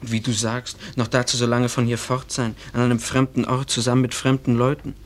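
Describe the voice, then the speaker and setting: A man playing an earnest, bashful small-town schoolboy in love, speaking haltingly in a German radio play. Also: mid-range voice, little pitch movement, natural voice. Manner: halting, searching for words.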